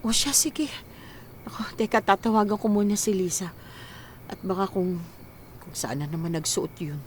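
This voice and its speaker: A woman's voice: medium pitch, moderate pace, background noise, close to an everyday voice. A woman acting an anxious, ailing mother in her forties in a Filipino radio drama, hesitant and stammering when worried. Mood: weary, homely. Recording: background music under the voice.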